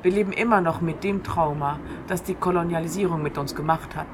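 A woman presents an informal radio monologue in a fairly low voice. She speaks conversationally and straight to the listener, with clearly audible ambient noise coming in through an open window. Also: brisk. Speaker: radio show host. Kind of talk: informal monologue on free radio, with read-out passages.

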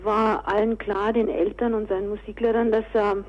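A woman's voice: medium pitch, flat delivery, moderate pace, dull audio. A woman answering interview questions conversationally as an author and director, on a thin radio recording.

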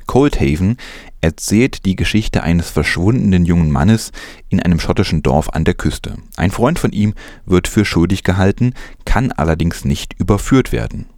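Low voiced guy w reading out news script, dry studio-tight sound. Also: lively intonation, normal speed, darkish tone, no echo. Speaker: news presenter.

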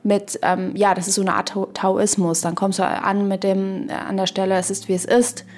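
A woman in a reflective podcast conversation, speaking in a halting, searching way and often adding little tag questions. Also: mid-range voice, level tone, a little mellow, slight background noise.

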